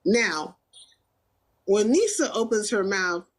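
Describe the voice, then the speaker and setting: Husky woman, blunt casual talk. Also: medium pitch, animated, slow. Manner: blunt, probing. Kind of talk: casual chat.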